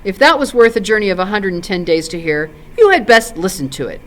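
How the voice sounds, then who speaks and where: A woman's voice: medium pitch, very animated, brisk, a bit bright. A woman voicing a testy, impatient old male halfling who speaks with indignant emphasis in a storybook.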